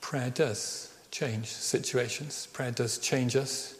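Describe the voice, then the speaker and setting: A middle-aged man from Birmingham with a slight Birmingham accent touched by Welsh Ceredigion tones, preaching a sermon as a vicar. He tells a personal story earnestly and slows down on key phrases. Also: mid-range voice, steady tone. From Birmingham, England. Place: church. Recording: reverberant church hall.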